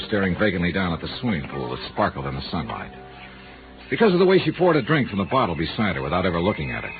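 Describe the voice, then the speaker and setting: An actor playing a wry, worldly insurance investigator with clipped hardboiled delivery in a 1950s radio drama. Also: male voice, sits low. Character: wry, worldly male freelance insurance investigator narrating his case. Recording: muffled, band-limited 1950s radio recording.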